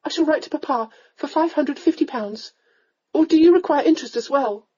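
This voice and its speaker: A woman's voice: high-pitched, fairly quick, dark tone, dull audio, pitched above the performer's own voice, natural voice. A woman voicing a proud, emotional young Regency lady in a romance audiobook, earnest and pleading. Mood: shaken, indignant.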